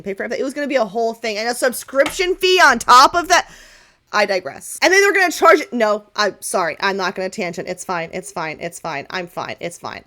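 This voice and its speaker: A woman playing an excitable, teasing young female friend in a tabletop game, rambling in dramatic slang. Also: on the low side.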